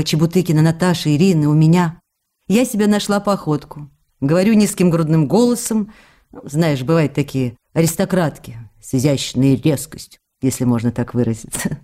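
A woman's voice: lowish voice, lightly acted. A woman playing an affectionate young actress, confiding in her absent lover through letters read aloud in a radio play. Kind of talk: radio play reading of love letters, intimate. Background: faint music.

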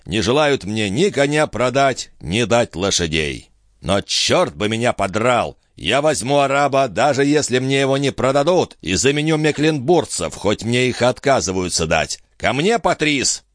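A brash male viscount from a storybook, acted out by a male reader, insolent and used to giving orders. His pitch swings boldly as he barks, and the sound is close and dry. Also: expressive, moderate pace, no echo, natural voice. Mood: brisk, insolent. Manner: barking, insolent, commanding. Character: brash, cool-headed, overbearing male viscount, quick to threaten.

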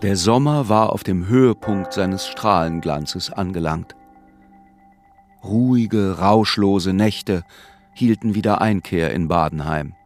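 Radio drama narrator, low pitch, sombre, measured, literary prose, faint music behind. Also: male voice. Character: measured, sombre storyteller of a besieged resort town. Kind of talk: scripted literary narration in a German radio play.

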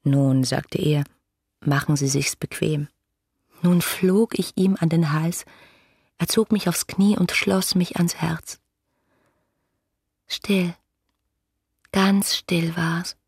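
Breathy, lovestruck young woman. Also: on the low side, fairly even intonation, takes it slowly, long pauses, darkish tone, full character voice. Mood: dreamy, intimate. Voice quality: breathy, often near whispering. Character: ardent, impulsive young woman in love, writing letters. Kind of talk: German radio play letter narration.

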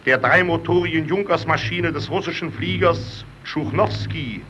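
An actor playing an earnest, solemn narrator who proclaims a heroic tale in emphatic old German radio-play style. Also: male voice, a little high, moderate pace, lightly acted. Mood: solemn, fervent. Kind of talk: 1920s-30s German radio play, declamatory. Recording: muffled, noisy old radio recording.

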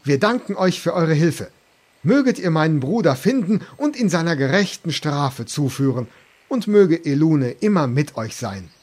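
A man's voice: fairly high pitch, very animated, normal speed, a little mellow. An actor playing a stern, formal noble man passing sentence in a comedic radio play. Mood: solemn. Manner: solemn, formal. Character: stern noble male judge, Illidan's brother.

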